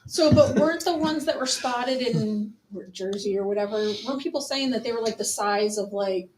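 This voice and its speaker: A woman's voice: a little high, moderate pace, background noise. A woman co-hosting a talk show in casual, informal chat.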